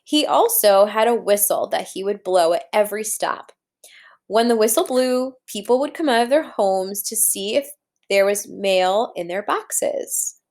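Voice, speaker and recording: A woman's voice: mid-range voice, expressive, unhurried, darkish tone. A woman teacher reading a storybook aloud to young children, talking to them directly and posing questions as she guides them along. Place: indoors at a computer.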